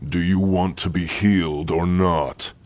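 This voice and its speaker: A man voicing a stern, gruffly caring orc warrior-healer in an ASMR roleplay, speaking in a formal, commanding way. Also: low-pitched, steady tone, unhurried, darkish tone, no echo. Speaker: roleplay voice actor.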